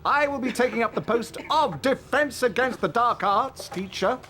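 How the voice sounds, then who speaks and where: Pompous showman professor, lively, high-pitched voice. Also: moderate pace, full character voice. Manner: pompous, theatrical, self-admiring. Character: vain boastful celebrity wizard professor.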